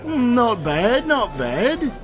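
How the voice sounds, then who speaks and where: Man playing a lively, folksy tavern keeper. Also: very high-pitched, takes it slowly, heavily acted. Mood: genial, obliging. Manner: folksy, obliging innkeeper talk. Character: genial, deferential male fantasy tavern keeper. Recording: music under the voice.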